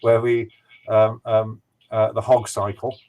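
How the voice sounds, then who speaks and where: A man's voice: on the low side, monotone, takes it slowly, phone-quality sound. A man taking part in a casual online discussion, hesitant and reaching for his words. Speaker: discussion participant.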